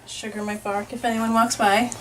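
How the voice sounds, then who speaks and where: A young adult woman, an author and illustrator, calmly explains her work while showing visitors around her art studio. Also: a little high. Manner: unhurried, explanatory. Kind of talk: casual studio tour. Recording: noticeable background noise.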